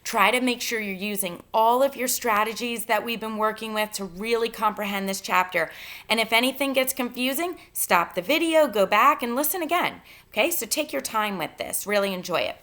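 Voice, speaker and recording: A woman teacher reading a novel aloud to students in an expressive, instructive way, pausing to give guidance. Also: fairly high pitch. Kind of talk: read-aloud lesson, narration with spoken instructions.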